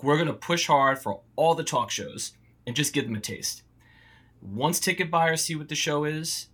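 A man playing a polished marketing executive, delivering an earnest sales pitch in a scripted audio comedy. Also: a bit bright, natural voice. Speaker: marketing company founder. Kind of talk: scripted presentation.

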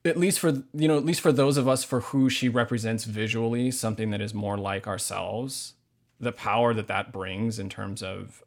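Low-pitched American man, earnest and reflective. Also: gravelly. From Hawaii, United States. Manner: earnest, reflective, searching for words. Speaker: podcast guest, novelist. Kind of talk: thoughtful conversational interview answers.

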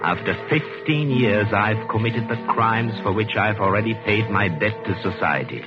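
An actor playing a vengeful, gloating wronged husband, deliberate and menacing, in 1940s radio suspense style. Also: male voice, lowish voice, close to an everyday voice. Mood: triumphant. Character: vengeful, coldly triumphant wronged husband, English ex-convict. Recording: muffled 1940s radio recording with music.

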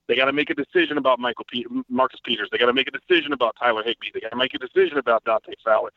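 A man, a guest writer, talking through football analysis in a casual podcast chat, quick and a bit profane. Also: medium pitch, fairly even intonation, dry recording. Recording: tinny dry phone-line audio.

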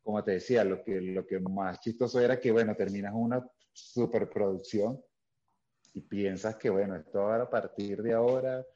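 Low-voiced Venezuelan man, lo-fi sound. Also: steady tone, moderate pace, dark tone. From Venezuela, Caracas. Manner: storytelling, many fillers and restarts. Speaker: guest actor. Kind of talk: casual interview chat. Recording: dull lo-fi sound.

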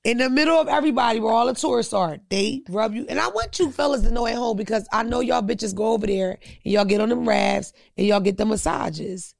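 Brief: gravelly host, loud and profane, dry close sound. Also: female voice, fairly high pitch, fairly quick, hardly any pauses, no echo. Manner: loud, profane, animated storytelling with put-on quotes. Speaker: podcast host. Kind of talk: casual storytelling chat.

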